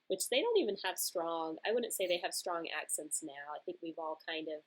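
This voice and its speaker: A woman's voice: fairly low pitch, animated, moderate pace, poor audio. A young woman from Georgia with a mild Southern accent, hosting a casual question-and-answer chat on her knitting podcast. She rambles cheerfully and laughs easily. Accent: mild American Southern. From Georgia, USA.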